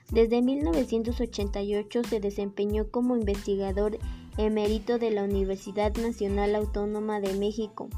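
A woman's voice: mid-range voice, mellow. A young woman, a university student, reading a school presentation aloud and restarting words she stumbles over. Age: young adult.

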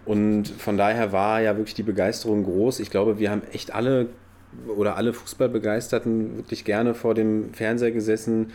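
Low, flat-voiced man chatting football. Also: fairly quick. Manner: chatty, rambling with restarts. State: slight cold. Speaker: podcast co-host. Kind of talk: casual football chat. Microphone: own podcast microphone.

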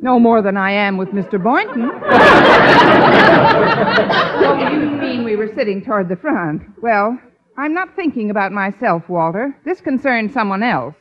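A sardonic, sensible schoolteacher in a 1950s radio comedy. Her fairly high voice is lively and drily timed, over heavy noise and a loud studio audience. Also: a crowd in the background. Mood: wry. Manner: dry, sardonic, measured comic timing. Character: wry, sensible woman high school teacher. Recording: muffled, noisy old radio recording, loud studio audience.

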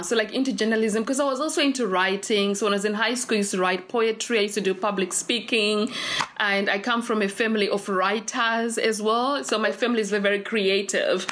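A woman's voice: mid-range voice, a bit bright, slight background noise. Zimbabwean woman telling her story, many fillers. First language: not English. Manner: rambling, with many fillers and restarts. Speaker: interview guest. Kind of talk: casual conversational storytelling.

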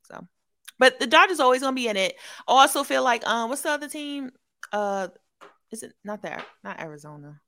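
A woman's voice: high-pitched, expressive, brisk, a bit bright. A young woman co-hosting a casual sports chat, playful and teasing, laughing often. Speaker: co-host.

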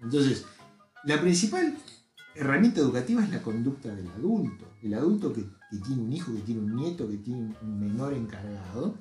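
A man's voice: mid-range voice, sing-song, moderate pace, mellow, reverberant, soft background music. A man, an author and educator speaking earnestly and emphatically as a podcast guest. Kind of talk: casual podcast conversation.

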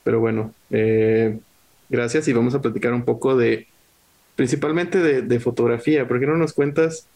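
A man's voice: medium pitch, steady tone, normal speed, creaky, darkish tone. Male host, video call, chatty and easygoing, laughs easily. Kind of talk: casual interview chat.

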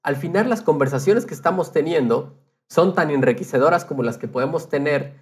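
A man's voice: on the high side, a little fast. A man podcaster chatting casually and explaining things conversationally, checking in with his listener as he goes.

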